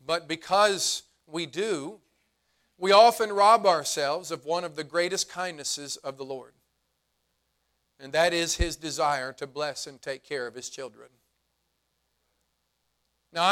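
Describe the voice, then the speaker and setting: A man preaching a sermon as pastor, his voice hoarse and fading, earnest, slowing down for emphasis. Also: fairly high pitch, fairly bright tone. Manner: earnest preaching, slows and drops to emphasize points.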